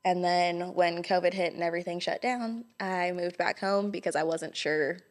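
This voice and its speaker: Young woman from Hawaii, slightly low pitch, friendly storyteller, interview guest. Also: steady tone, moderate pace. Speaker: guest, small business owner. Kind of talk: casual interview chat.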